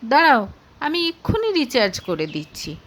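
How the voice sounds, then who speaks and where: A woman's voice: high-pitched, wildly swooping pitch, normal speed, a bit bright, heavily acted. A woman voicing a curt, evasive husband with a brusque manner in an audio story. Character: evasive, curt husband guarding his secret.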